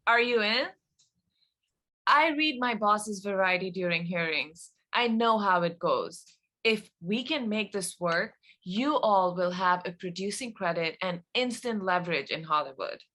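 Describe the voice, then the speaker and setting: A woman's voice: mid-range voice, expressive, unhurried, sharp tone. A woman playing a confident, persuasive schemer in a table read. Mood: assured. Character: confident, persuasive woman pitching a bold plan.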